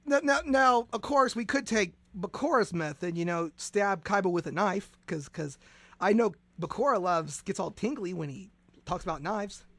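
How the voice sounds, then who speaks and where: A man hosting a comedic radio show, excitable and stammering through restarts, now and then shouting. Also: sits high, normal speed, no room sound.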